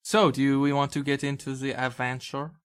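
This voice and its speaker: Guy dungeon master on a podcast, medium pitch, chatty and laid out casually. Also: flat delivery, moderate pace, clean tone. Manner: informal, conversational. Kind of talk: casual banter and game recap.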